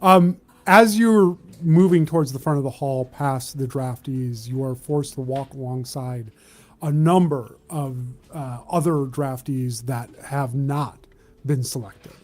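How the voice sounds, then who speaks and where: A man narrating a tabletop roleplaying game as game master, with a deliberate, halting delivery. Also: mid-range voice.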